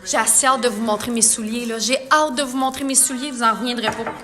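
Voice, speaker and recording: A high, bright-toned woman with a Quebec French accent talks viewers through her makeup in a live tutorial. She is chatty and playful, often reading comments aloud. Soft music plays faintly behind her. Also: fairly even intonation, quick pace. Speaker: makeup artist.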